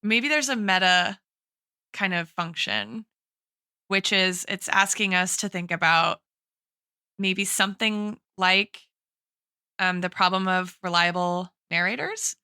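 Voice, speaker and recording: Bright, crisp-voiced woman thinking aloud. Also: medium pitch, slow pace, long pauses, no echo, studio quality. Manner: reflective, analytical, hedging with frequent tags like "right" and "like". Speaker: podcast host and guest expert, a teacher. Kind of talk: thoughtful literary podcast discussion.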